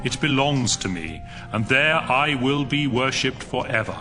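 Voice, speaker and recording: A man's voice: mid-range voice, expressive, unhurried, lightly acted. A man playing a stern, commanding God in a dramatized scripture reading, solemn and declamatory. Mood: solemn promise. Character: the Lord God, commanding, stern male deity. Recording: music bed behind the voice.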